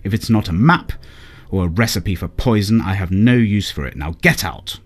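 A man's voice: fairly low pitch, lively intonation, normal speed, husky. A man voicing a shrewd, hot-tempered fairy queen who snaps and commands in a raised, imperious voice. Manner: sharp, imperious, snapping.

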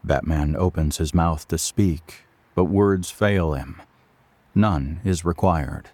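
A man's voice: low voice, takes it slowly, darkish tone, no echo. A man giving solemn, scripted narration as the storyteller of a superhero audio drama.

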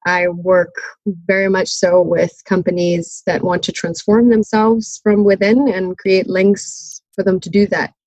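A woman's voice: mid-range voice, little pitch movement, measured pace, hardly any pauses, a little mellow, no room sound, rough recording. A woman who grew up in Malaysia and Canada, speaking as an interview guest, articulate but a little rambling with restarts. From Malaysia, raised in Canada. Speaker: guest, sustainability consultant. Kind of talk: conversational interview.

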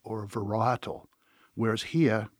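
Australian winemaker guest, relaxed storyteller, low voice, dry booth sound. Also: male voice, a little slow, husky, no echo. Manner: relaxed storytelling with some restarts. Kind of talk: casual podcast interview.

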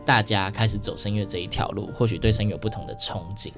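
Chatty Taiwanese host over background music. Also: male voice, lowish voice, steady tone, fast. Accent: Taiwanese Mandarin. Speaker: radio show host. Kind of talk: casual, chatty interviewing. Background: music playing behind the talk.

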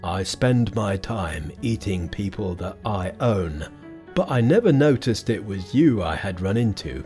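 A man voicing a grotesque pig-spirit monster that boasts gruffly and later pleads. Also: fairly low pitch, lively intonation, unhurried, darkish tone, soft background music. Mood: confessional. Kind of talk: audiobook character voice.

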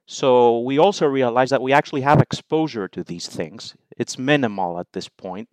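A middle-aged man from Greece, a guest in an interview, explaining his views in measured, numbered points. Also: mid-range voice, clean tone, dry recording. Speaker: investment allocator, guest. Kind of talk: interview answers.

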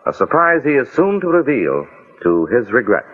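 A man's voice: mid-range voice, huge pitch swings, moderate pace, slightly rough. A man announcing and narrating a 1940s radio drama in a formal, declamatory style. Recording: tinny 1940s radio recording with loud music. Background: loud music.

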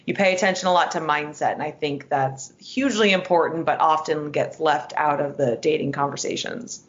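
Low-voiced, slightly hoarse woman podcast host. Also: fairly even intonation, normal speed, reverberant, dull audio. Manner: chatty, lots of "like" and restarts. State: a little hoarse. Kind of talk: casual interview chat and solo outro.

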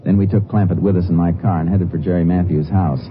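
An actor playing a steady, dogged Texas Ranger who narrates and questions suspects in a firm, measured way in a 1950s radio drama. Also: male voice, deep voice, faint music behind, close to an everyday voice. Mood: calm narration. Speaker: narrator and lead character. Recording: tinny old radio broadcast.

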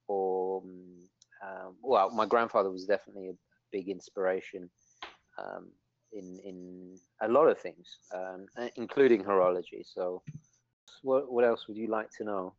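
Guest voice: low-pitched English man, Italian first language, hesitant, restarts often, dry dull audio. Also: fairly even intonation, no room sound. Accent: English, with a trace of Italian. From England. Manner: halting, many restarts and fillers. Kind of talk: casual interview chat.

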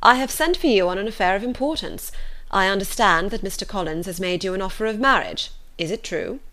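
An unruffled older gentleman from a storybook, voiced by a woman narrator. He is dry and ironic, delivering his words in a measured, mock-solemn way. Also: medium pitch, lively intonation. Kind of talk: audiobook character voice.

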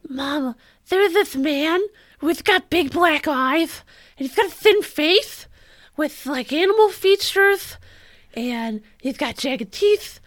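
A woman's voice: high-pitched, expressive, slow pace, no room sound, pitched above the performer's own voice. A woman voicing a frightened little boy with a lisp, listing things in a childish way. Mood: frightened, whiny. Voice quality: lisping. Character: frightened lisping little boy describing a monster.

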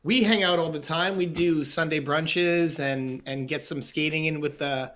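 A man's voice: a little high, unhurried, fairly bright tone. A man hosting a podcast, chatting casually and asking questions in a rambling, conversational way. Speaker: podcast host.

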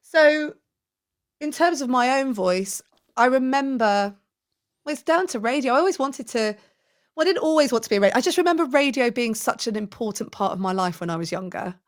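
A woman's voice: fairly high pitch, animated, a little fast. A woman in her forties, a radio presenter and podcaster, talking enthusiastically and reflectively as a guest in an interview. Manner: enthusiastic, talkative, self-reflective. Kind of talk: conversational interview.

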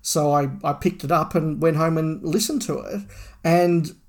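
A man's voice: a little high, steady tone, a little slow. An Australian man in his forties, a podcast co-host giving his opinions in a casual, conversational way. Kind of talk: casual chat, discussion.

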